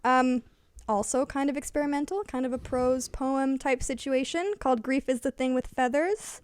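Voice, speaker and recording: A woman's voice: high voice, fairly even intonation, moderate pace, darkish tone, clear, resonant tone, dry recording. A woman co-hosting an unscripted, casual chat about books. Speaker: book talk co-host, English teacher.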